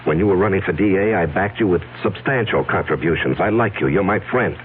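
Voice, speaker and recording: A man's voice: low voice, brisk, close to an everyday voice. An actor playing a nervous, wealthy businessman who stammers and sounds defensive, in a 1970s radio drama. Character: nervous wealthy businessman man hiding a secret. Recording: tinny lo-fi old radio broadcast with faint hiss.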